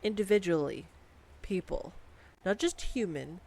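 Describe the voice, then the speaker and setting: A voice actress performing a scripted girlfriend roleplay, bubbly and playful as she gushes excitedly over facts at a home mic. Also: lowish voice, a little slow, gravelly. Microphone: studio condenser mic.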